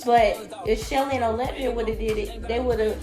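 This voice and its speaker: Raspy-voiced woman, blunt and emphatic. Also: fairly high pitch, steady tone, fairly quick. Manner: blunt, emphatic, talks to viewers as y'all. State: sick, raspy voice. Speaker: reality TV commentator. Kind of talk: opinionated vlog commentary. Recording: echoey room with loud music.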